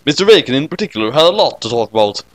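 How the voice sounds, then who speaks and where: A man's voice: mid-range voice, big pitch swings, very fast, dry recording. A man reading scripted comic fan fiction as narrator.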